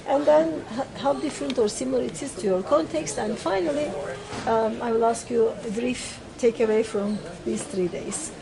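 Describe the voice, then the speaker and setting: A woman runs an interview, her voice sitting a little high. She hesitates, fills gaps with "uh" and rephrases her questions. A steady hum of many people chatting fills the room behind her. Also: normal speed, vocal fry, low-quality recording. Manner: hesitant, full of fillers and restarts. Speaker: interviewer and teacher trainer. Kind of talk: semi-formal interview questions. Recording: loud crowd chatter behind.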